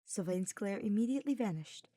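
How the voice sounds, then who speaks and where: A woman's voice: mid-range voice, lively intonation, a little fast, mellow, no echo. A woman reading scripted fiction aloud as a narrator. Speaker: fan fiction audiobook reader.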